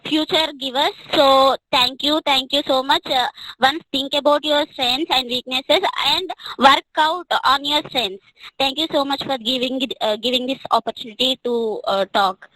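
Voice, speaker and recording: Halting young woman, bright. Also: sits high, no room sound, rough recording. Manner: hesitant, many fillers and restarts. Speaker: student speaker. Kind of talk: speech about mock interview experience.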